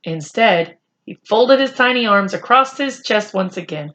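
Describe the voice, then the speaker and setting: A woman reading a children's chapter book aloud in a deliberate, expressive storytelling style. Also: mid-range voice, lo-fi sound. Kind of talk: read-aloud storytelling narration.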